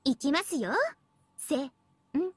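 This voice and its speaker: A smug young anime game villainess, high and very lively in pitch. She teases in a cutesy, theatrical schoolgirl way, recorded close and dry. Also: normal speed, no echo. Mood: menacing, playful. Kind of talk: Japanese mobile game character voice lines.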